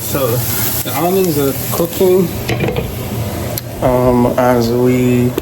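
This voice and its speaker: A cooking vlogger with a Kenyan accent talks casually to viewers in a home kitchen. The delivery is slow and halting, as if busy with several jobs at once. Loud frying, stirring and running water compete with the medium-pitched voice. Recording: loud kitchen noise over voice. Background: frying food, running tap water, stirring.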